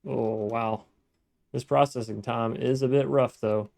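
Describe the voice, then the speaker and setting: A man with a gravelly, mellow voice chats his way through a modded building game, musing aloud and correcting himself as he plays. The sound is dry and close to the mic. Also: medium pitch, fairly even intonation, measured pace, no room sound. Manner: chatty, thinking aloud, frequent restarts and self-talk. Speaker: gaming let's-play host. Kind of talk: casual gameplay commentary.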